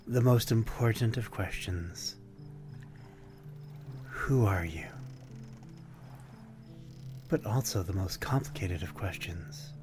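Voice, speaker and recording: Man playing a slow, low-voiced courtly vampire. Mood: reassuring. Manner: formal, old-fashioned, gently wistful. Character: courtly, melancholy male vampire stranger by a harbor. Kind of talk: ASMR roleplay, intimate scripted monologue.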